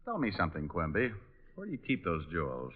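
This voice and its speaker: A cocky private eye in an old radio mystery. His low voice swings lively through flippant lines at a fast clip, on a thin vintage recording. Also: phone-quality sound, heavily acted. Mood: probing. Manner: sardonic, flippant, quick with quips. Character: wisecracking, cocky male private detective. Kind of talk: old-time radio detective drama acting.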